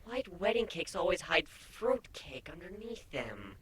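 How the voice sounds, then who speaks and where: A man's voice: sits high, big pitch swings, a little slow, a bit bright, a little hiss or hum, pitched above the performer's own voice, exaggerated voice. A man voicing a playful, food-loving young female pony wife in a teasing, earnest storybook reading. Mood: playful grumbling.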